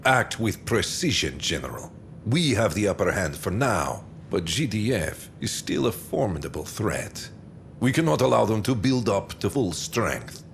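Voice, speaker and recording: An actor playing a stern, calculating military commander in a game cutscene, speaking with measured, deliberate menace. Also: male voice, fairly low pitch, very animated, vocal fry, a little hiss or hum, exaggerated voice. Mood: cold, measured menace. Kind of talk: dramatic scripted game briefing.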